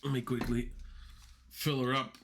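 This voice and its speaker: A man's voice: medium pitch, little pitch movement, a little slow, noisy background. A young man who grew up speaking Dutch and Turkish, chatting casually on a livestream while he codes, rambling with lots of fillers and restarts. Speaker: coding livestreamer.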